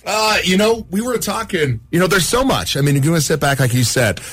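A man's voice: on the high side, expressive, fairly quick, a bit bright, slightly rough, dry recording, faint music behind. A man at a radio studio reminiscing on air in a loose, rambling way, full of "you know" and "uh". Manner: rambling, reminiscing, lots of fillers. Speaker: radio station staffer. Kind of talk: casual storytelling on air.

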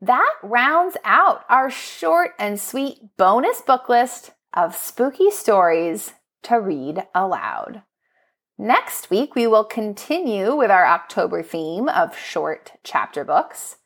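A woman presenting book recommendations as a podcast host, speaking in an enthusiastic, expressive, prepared narrating style. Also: medium pitch, slow pace. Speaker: school librarian.

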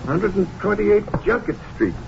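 An American actor playing an obliging, slightly edgy small-town optometrist with folksy, chatty manners in a 1950s radio drama. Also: male voice, high voice, very animated, normal speed, vocal fry, exaggerated voice. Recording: muffled, hissy old radio recording.

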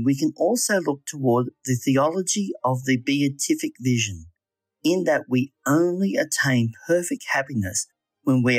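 Man, slow-paced, earnest, measured, spiritual reflection, dry recording, soft music behind. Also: mid-range voice, dry recording. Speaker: lay reflection speaker. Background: background music.

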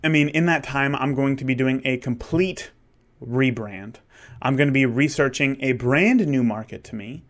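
A man's voice: medium pitch, moderate pace. Candid thirty-year-old man rambling. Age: 30s. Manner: candid and rambling, with frequent restarts and fillers. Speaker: wedding photographer and podcast host. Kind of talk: casual solo monologue.

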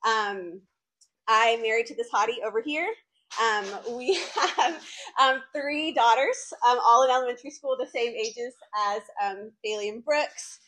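A woman's voice: a little high, measured pace, pushed and bright, low-quality recording. A woman in her late thirties from North Carolina, chatting informally to college students in a faith talk. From North Carolina, United States. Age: late 30s. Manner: chatty and conversational, frequent "like" asides. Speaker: campus ministry speaker.

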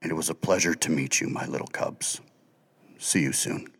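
A man voicing a gruff but kindly animal guide who teases warmly. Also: very deep voice, moderate pace, in a large hall, exaggerated voice. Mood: teasing.

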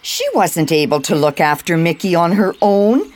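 A woman playing a kindly, chatty older woman at a seniors' residence in an audio drama, with a lilting, expressive delivery. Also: on the low side, moderate pace, lightly acted. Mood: chatty, sympathetic.

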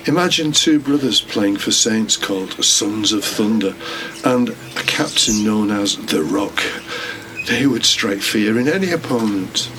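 A man's voice: mid-range voice, a bit bright, low-quality recording. A man delivering a scripted, sermon-like religious reflection as a podcast host. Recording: clear background noise.

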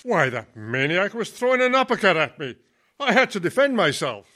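A man's voice: high voice, big pitch swings, normal speed, noisy background. A man playing a stern, indignant head of a school board, demanding answers in radio comedy style.

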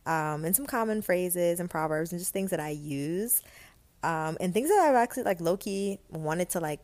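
Young woman podcast host from Guyana, lower voice, mostly American accent, casual and self-amused. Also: moderate pace, darkish tone. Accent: light American, Guyanese traces. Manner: chatty, laughs at herself, many "um" and "like". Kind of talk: casual solo podcast chat.

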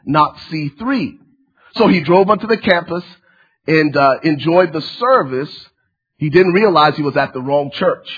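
Fervent guest pastor from Liberia, husky. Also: male voice, a little high, muffled recording. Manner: fervent preaching with repeated phrases and many fillers. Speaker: church-planting pastor, guest speaker. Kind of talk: sermon.

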